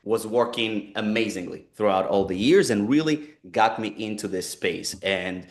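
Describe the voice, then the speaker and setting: Low-voiced Israeli man, earnest. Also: moderate pace. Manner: earnest, repeats words and restarts phrases. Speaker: company co-founder presenting a webinar. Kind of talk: conversational webinar talk.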